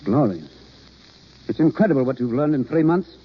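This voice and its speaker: A man's voice: mid-range voice, expressive, normal speed, slightly rough. An actor playing an earnest, reserved male drama coach, formal and hesitant, then fervent, in vintage radio drama style. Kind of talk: 1930s-40s radio drama acting. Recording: dull old radio broadcast recording.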